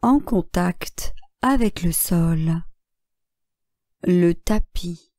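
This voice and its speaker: Very slow, mellow woman guiding relaxation. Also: fairly low pitch, dry recording, clean studio sound. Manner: calm, measured, instructing in short phrases with long pauses. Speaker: yoga nidra instructor. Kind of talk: guided relaxation meditation.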